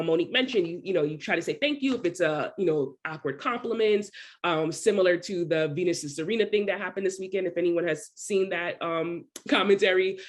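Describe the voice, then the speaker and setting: A woman with a New York accent speaking as a panelist, earnest and reflective, laughing between points. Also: on the low side. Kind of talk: candid panel talk.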